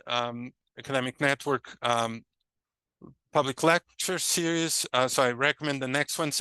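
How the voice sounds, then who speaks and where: A man from Brazil with a Brazilian Portuguese accent, a native Portuguese speaker, delivers an academic lecture. His voice is bright and crisp, and he hesitates often with fillers and restarts. The sound is dry and close. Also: medium pitch, no room sound, rough recording.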